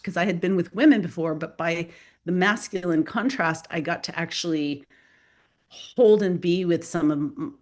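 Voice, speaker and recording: A woman whose first language is Spanish, a guest author talking loosely in an interview with many fillers and restarts. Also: lowish voice. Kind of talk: casual spoken interview.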